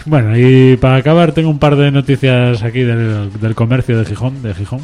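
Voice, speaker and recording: A man's voice: mid-range voice, fairly even intonation, moderate pace, dark tone. A man, co-hosting a radio show and reading news items in a casual, joking way with frequent laughs. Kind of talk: casual news chat, half read.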